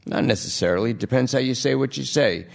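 A man's voice: mid-range voice, quick pace, vocal fry, pitched above the performer's own voice. A man voicing a blunt male police detective who coaxes and bargains in a dry, persuasive way. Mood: coaxing.

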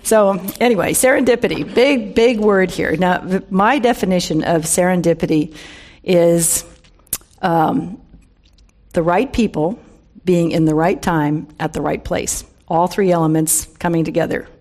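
A woman's voice: lowish voice, measured pace, darkish tone. An American woman in her seventies giving an informal, humorous talk to a live audience, with conversational storytelling, wry asides and emphasis on key words. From San Francisco Bay Area, USA. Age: 70s. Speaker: guest speaker, wine industry veteran.

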